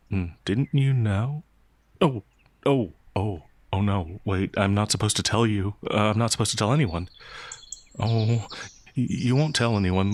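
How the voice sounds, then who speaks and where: A man playing a gentle, naive young peasant werewolf, hesitant and easily flustered, in a fantasy audio roleplay. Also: on the low side, natural voice. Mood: flustered, worried.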